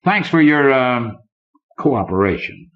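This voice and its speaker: A man's voice: medium pitch, very animated, slow pace, pitched above the performer's own voice. Oily, ruthless banker villain, read by a man. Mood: smug menace. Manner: oily, smooth-tongued menace. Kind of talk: audiobook character dialogue. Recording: dull lo-fi old cassette recording.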